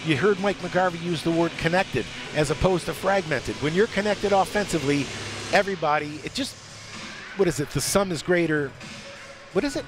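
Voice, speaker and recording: Older male color commentator, high voice, enthusiastic and conversational, basketball highlights on headset mic with prominent music behind. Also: moderate pace. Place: courtside in a college basketball arena. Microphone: broadcast headset.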